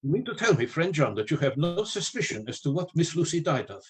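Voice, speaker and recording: An actor playing a learned, mysterious professor in a radio play, speaking formally and deliberately with rhetorical questions. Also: male voice, fairly high pitch, sing-song. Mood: probing. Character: grave male professor, learned, mysterious, solemnly persuasive.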